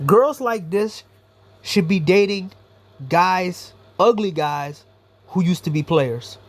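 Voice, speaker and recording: A man's voice: sits high, slow pace. A man giving a blunt, profane, rambling commentary monologue as a YouTuber.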